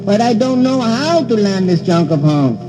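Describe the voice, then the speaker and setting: A man's voice: sits high, very animated, normal speed, loud music behind the voice, low-quality recording. A man voicing a silly, naive male pilot sidekick in a cartoon, giddy and sing-song, mixing up his words.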